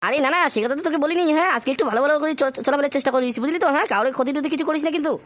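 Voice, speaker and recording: Fussy cartoon dad played by a man, pitched-up voice, very fast, repetitive coaxing. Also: fairly bright tone, dry recording. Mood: coaxing, scolding. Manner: repetitive nagging with questioning tag words. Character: fussy, coaxing father rousing his foul-mouthed son. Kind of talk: amateur comic cartoon dub.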